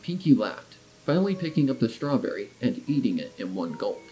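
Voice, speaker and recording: Man narrating a story with lively intonation. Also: medium pitch, a little fast, rough recording. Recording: background music under the voice.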